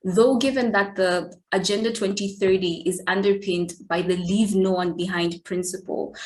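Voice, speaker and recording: Lowish voiced woman from malawi, event moderator, reads her intro with a few restarts. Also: low-quality recording.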